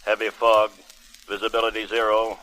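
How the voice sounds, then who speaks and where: Flat, low male weather bulletin announcer. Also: rough recording. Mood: impersonal. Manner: measured, droning, impersonal. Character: official government weather bureau radio announcer. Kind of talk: 1930s film radio bulletin. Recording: vintage film radio, faint noise.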